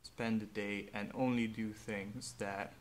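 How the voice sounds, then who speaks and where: Young hungarian guy talking slow and thoughtful, lowish voice, bit of hiss behind. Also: little pitch movement. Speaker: vlogger. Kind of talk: reflective personal monologue.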